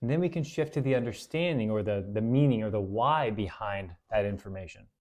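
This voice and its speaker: A man hosting a casual Bible study, talking in a relaxed, conversational way with fillers and self-corrections, and reading verses slowly and deliberately. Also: on the low side. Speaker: host.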